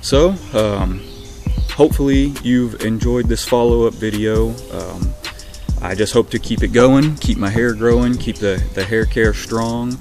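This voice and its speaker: A young man with a Southern accent giving a casual, relaxed update to camera from his backyard, with lots of ums and uhs. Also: medium pitch, a little slow, over music. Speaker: vlogger. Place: backyard outdoors. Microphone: webcam at arm's length.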